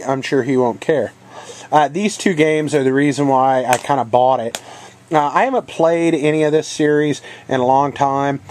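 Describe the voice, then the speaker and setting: Brief: congested man with a head cold, mid-pitched voice, halting casual vlog talk full of fillers. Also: darkish tone, slight background noise. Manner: rambling, halting, many ums and uhs. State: coughing now and then. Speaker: channel host. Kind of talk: casual video game haul vlog.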